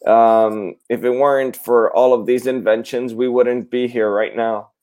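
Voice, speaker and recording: A man from Puerto Rico, co-hosting a live online English lesson in a relaxed, joking way and laughing often. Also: mid-range voice, measured pace. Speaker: co-host teacher.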